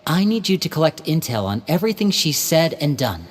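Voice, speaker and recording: An actress playing a decisive young female magician in a video game, giving orders briskly as she lays out a plan. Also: low voice, big pitch swings, in a large hall, a little hiss or hum. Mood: determined. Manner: brisk, commanding, planning aloud. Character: young woman magician, decisive leader of her siblings.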